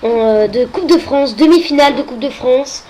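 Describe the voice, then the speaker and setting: High-voiced woman with a cold, hesitant. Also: moderate pace, warm tone, a little hiss or hum, rough recording. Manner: hesitant, many filler sounds and restarts. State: has a cold. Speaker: video game match commentator. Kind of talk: casual football game commentary.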